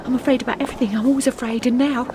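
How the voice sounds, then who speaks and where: An actress with an English accent playing a distraught young wife in a radio drama, anxious and emotional. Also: female voice, on the high side, fairly even intonation, racing, noisy background, natural voice. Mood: fearful.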